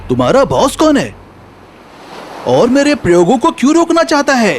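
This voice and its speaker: A man voicing an earnest, determined inventor hero in an animated children's story, speaking with resolve. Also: extremely high pitch, moderate pace, slight background noise, pitched above the performer's own voice, full character voice. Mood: questioning.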